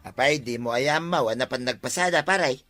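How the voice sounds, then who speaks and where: A blunt village buddy played by a man in a comedy audio drama, mocking and dismissive. His voice is bright and forceful, recorded very dry. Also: mid-range voice, fairly quick, no room sound, soft background music, close to an everyday voice. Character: blunt, mocking village pal at the tricycle stand. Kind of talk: comedy radio drama acting.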